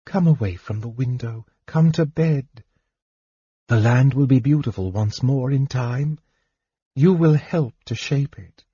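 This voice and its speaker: Man reading an earnest young elf princess, soft pleading tone, slightly higher, dull lo-fi sound. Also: measured pace, breathy edge, no room sound.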